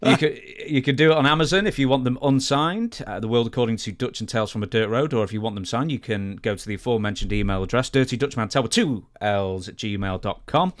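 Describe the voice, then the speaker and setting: A man with a medium-pitched voice co-hosts a podcast. He talks in a casual, conversational hosting style, moving between chat and show plugs. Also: fast, hardly any pauses. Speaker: podcast co-host.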